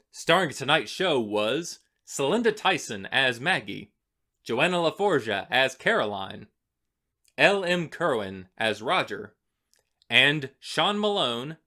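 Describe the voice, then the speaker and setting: A man's voice: mid-range voice, bright, forceful tone. A man reading a scripted credits announcement as a radio host, in a presentational manner. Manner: presentational, clearly read.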